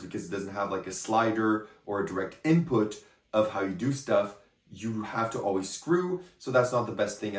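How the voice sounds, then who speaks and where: Hoarse low-voiced man reviewing magic. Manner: chatty, rambling, often checks in with "okay?". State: hoarse, voice partly lost. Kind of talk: casual product review to camera. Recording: echoey room, a bit far from the mic.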